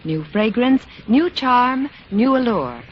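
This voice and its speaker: A woman's voice: a little high, sing-song, slow. Lilting American woman, vintage radio commercial. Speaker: commercial spokeswoman. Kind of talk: 1940s-1950s radio commercial reading. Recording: muffled old radio broadcast, faint noise.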